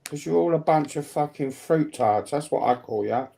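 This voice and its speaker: Gravelly British voice, blunt and sweary. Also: medium pitch, normal speed, warm tone. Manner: blunt, combative, heavily sweary. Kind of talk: casual livestream banter.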